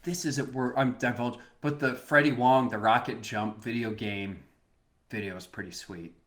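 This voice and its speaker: A man co-hosting a relaxed podcast, laughing often as he talks. His voice is mid-pitched, and he frequently stumbles, backs up and starts his sentences over. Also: normal speed. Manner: laughs easily, hesitant restarts. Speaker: podcast co-host. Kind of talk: casual podcast chat.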